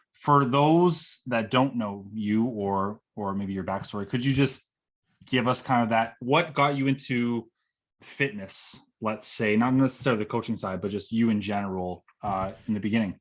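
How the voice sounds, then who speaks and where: A man's voice: fairly low pitch, moderate pace. Friendly male podcast host. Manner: friendly, loosely phrased questions. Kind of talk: podcast interview.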